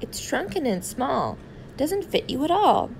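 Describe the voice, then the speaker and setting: A woman voicing an exasperated, scolding mother in a storybook, with emphatic drawn-out words. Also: high voice, sing-song, gravelly, a little hiss or hum, pitched above the performer's own voice, exaggerated voice, poor audio.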